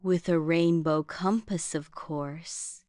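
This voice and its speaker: A sweet, timid little fairy, acted by a woman from a children's bedtime story. She speaks slowly in a gentle, plaintive way, in a dry, close sound. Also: sits low, fairly even intonation, no echo. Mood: relieved, grateful.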